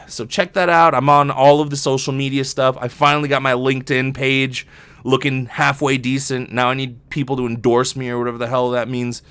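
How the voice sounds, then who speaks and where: A man's voice: mid-range voice, a bit bright, smooth. A man hosting a casual solo podcast, talking in a rambling, jokey, self-deprecating way with some swearing. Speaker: podcast host and professional voice actor.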